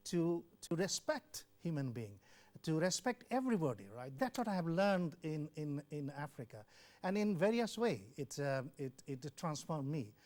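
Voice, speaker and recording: A man's voice: on the high side, sing-song, moderate pace, darkish tone. An older man from Bangladesh, a former health official, earnestly telling his life story in an interview and acting out remembered dialogue. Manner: earnest, repeats words, acts out quoted dialogue. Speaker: former WHO country representative.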